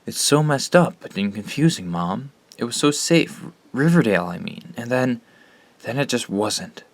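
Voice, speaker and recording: An actor playing a troubled, earnest teenage boy in an audio drama, speaking haltingly. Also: medium pitch, animated, dry recording, lightly acted. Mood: worried.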